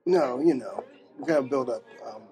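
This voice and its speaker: A man voicing a soft-spoken male protester with a hushed, deadpan delivery, recorded in a restaurant. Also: moderate pace, soft background music, lightly acted. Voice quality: hushed, low and quiet. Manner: deadpan and understated.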